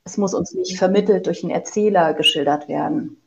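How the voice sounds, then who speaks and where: A woman's voice: lowish voice, brisk, vocal fry, background noise. A woman author answering interview questions in a conversational, explanatory way. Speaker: interview guest, radio play author. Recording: slightly dull, lo-fi sound.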